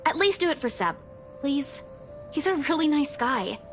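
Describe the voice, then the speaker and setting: A weary, defensive young woman with a fairly high voice and a cutting tongue. She snaps back with curt retorts in a horror game, with faint music under her lines. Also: big pitch swings, moderate pace. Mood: worried.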